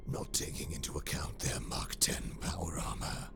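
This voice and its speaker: An actor playing a pragmatic traitor officer who talks in a dry, matter-of-fact way. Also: male voice, a bass voice, fairly even intonation, moderate pace, sharp tone. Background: music.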